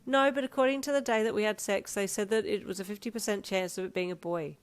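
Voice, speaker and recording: A woman's voice: medium pitch, fairly even intonation, fast talker, smooth, natural voice. A woman voicing a young pregnant woman who snaps and sobs in emotional outbursts. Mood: defensive. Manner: sobbing, snappish outbursts.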